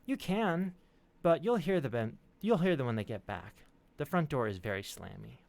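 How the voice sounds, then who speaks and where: Man voicing an earnest little boy, high-pitched. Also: animated, moderate pace, creaky, a little mellow. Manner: earnest, thoughtful, careful. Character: serious, precocious, slightly scared young boy. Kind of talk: storybook read-aloud.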